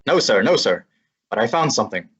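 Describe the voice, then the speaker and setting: A woman playing a breezy, sarcastic young male assistant detective in a student radio drama, with a husky voice. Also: a bass voice, very animated, fairly quick, heavily acted. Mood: wisecracking. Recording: dull lo-fi recording.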